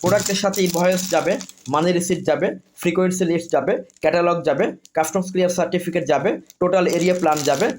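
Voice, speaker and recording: Male Bangladeshi product seller, higher-pitched voice, quick delivery, insistent demo pitch with list-like phrasing. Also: steady tone, darkish tone, a little hiss or hum. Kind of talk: informal product demonstration sales pitch.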